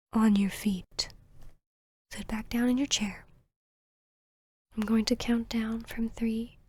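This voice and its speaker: A woman playing an arrogant, cruel, seductive vampire queen in a hypnotic ASMR roleplay, teasing and commanding in a breathy whisper. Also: on the high side, dry recording.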